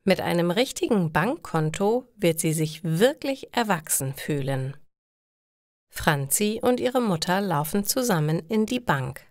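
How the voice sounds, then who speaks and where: A woman narrating simple stories for language learners in slow, deliberate, scripted phrasing. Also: fairly low pitch, lively intonation, dry recording, clean studio sound.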